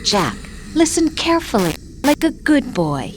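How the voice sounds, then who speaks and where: A woman's voice: high-pitched, big pitch swings, background noise. A woman voicing an artificial intelligence in a video game, composed and condescending, as if explaining things to a child. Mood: patronising. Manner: slow, patronising, composed. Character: female-voiced artificial intelligence, condescending, coolly patronising. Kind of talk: dramatic video game voice acting. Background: music.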